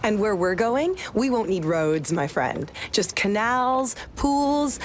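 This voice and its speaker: A woman's voice: medium pitch, big pitch swings, slow pace, a little hiss or hum. An actor playing a cocky, playful young woman stunt racer in a game, breezy and boastful. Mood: playful, eager. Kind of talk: video game cutscene acting.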